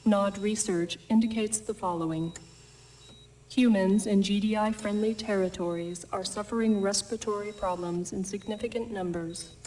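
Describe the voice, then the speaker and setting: A woman's voice: medium pitch, steady tone, moderate pace, mellow, lightly acted. Distant, clinical female military announcer, acted by a woman. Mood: clinical, detached. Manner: formal, clinical, detached. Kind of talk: scripted game briefing announcement. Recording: distant, noisy transmission sound.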